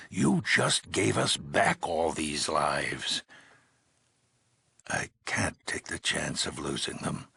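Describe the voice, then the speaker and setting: An actor playing a weary, grave older leader of an underground vault in a video game, speaking in a measured, solemn way with heavy pauses. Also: male voice, extremely low pitch, pushed and bright, no room sound, full character voice. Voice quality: gravelly, husky. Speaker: overseer of a vault community. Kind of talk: dramatic video game dialogue.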